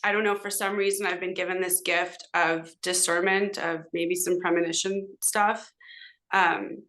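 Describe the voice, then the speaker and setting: A woman's voice: fairly low pitch, flat delivery, normal speed, fairly bright tone. A middle-aged American woman from Southern California, a native Hebrew speaker, chatting casually and at length as a podcast guest in an informal, rambling way. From Southern California, United States. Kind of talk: casual conversational interview.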